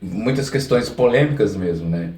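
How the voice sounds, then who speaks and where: A man's voice: fairly low pitch, expressive, moderate pace, a little mellow. A Brazilian man hosting a casual, conversational interview and putting questions to a guest. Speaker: interviewer, podcast host. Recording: echoey room, distant from mic.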